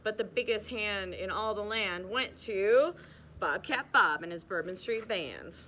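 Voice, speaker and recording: A young woman reading a rhyming picture book aloud at a steady, rhythmic pace, now and then stumbling and restarting a word. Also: medium pitch, unhurried, slight background noise. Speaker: reader.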